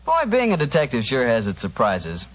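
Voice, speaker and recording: An American male radio host from the 1940s delivers scripted sponsor pitches and banter. His voice swings expressively and is chatty and salesmanlike, heard through a muffled old broadcast recording. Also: huge pitch swings, normal speed.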